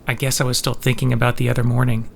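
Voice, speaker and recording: A man's voice: medium pitch, monotone, quick pace, creaky, slight background noise, close to an everyday voice. An actor playing a mild-mannered, troubled man in an audio drama, hesitant and introspective in a conversational way. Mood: gentle, flirtatious. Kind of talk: scripted audio drama acting.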